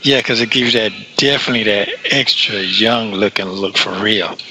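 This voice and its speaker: A man's voice: fairly low pitch, moderate pace, sharp tone, poor audio. A man chatting casually on a personal call, repeating phrases for emphasis and stammering into his sentences. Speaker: caller on a prison call. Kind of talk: casual private phone chat.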